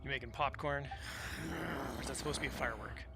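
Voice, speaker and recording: A man's voice: medium pitch, quick pace, heavy background noise. A man live streaming a game and chatting casually with viewers in an offhand, laid-back way. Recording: game music behind the voice.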